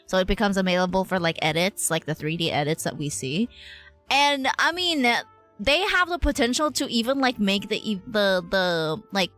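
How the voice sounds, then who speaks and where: A woman's voice: medium pitch, big pitch swings, normal speed, fairly bright tone, soft background music. A woman streaming a game with casual live commentary, chatty, rambling and giggly, using plenty of slang.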